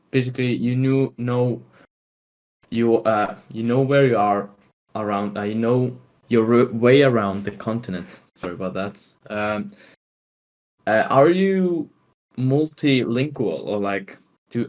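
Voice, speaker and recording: A male student podcast host with a fairly low voice. He asks his questions casually, stumbling and correcting himself as he goes. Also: a little mellow. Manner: informal, stumbling, self-correcting. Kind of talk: casual interview.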